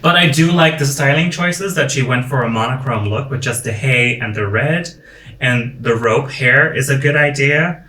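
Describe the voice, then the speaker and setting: Bright-voiced Frisian man in echoey room. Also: mid-range voice, distant mic. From Friesland, Netherlands. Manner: opinionated, measured critique. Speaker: podcast co-host. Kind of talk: casual review chat. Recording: echoey room, away from mic.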